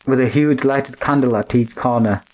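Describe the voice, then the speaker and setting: A man's voice: medium pitch, normal speed, a little mellow, highs cut off, natural voice. An actor playing an astonished, respectful male companion to an investigator in a radio drama. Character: awestruck, deferential manservant companion to an investigator. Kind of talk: classic radio drama acting.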